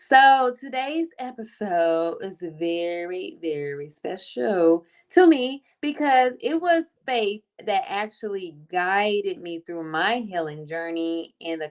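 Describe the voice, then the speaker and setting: Effusive woman hosting a faith podcast. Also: medium pitch. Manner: effusive, repeats her welcomes and praise. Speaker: podcast host. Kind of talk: casual faith podcast chat.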